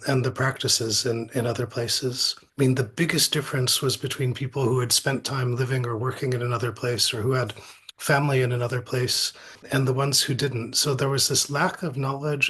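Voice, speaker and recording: A middle-aged man giving reflective, anecdotal interview answers as an academic guest, thoughtful and halting, often repeating words. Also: mid-range voice, a bit bright, slightly rough.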